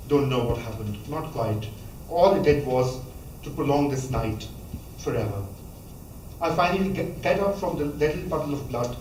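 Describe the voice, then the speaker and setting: A man, reading a memoir passage aloud at a measured pace, now and then stumbling and restarting a word. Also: mid-range voice, darkish tone, slight background noise. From Pakistan. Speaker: author reading from his book. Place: college lecture hall. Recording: echoey hall, voice from a distance, faint ambience.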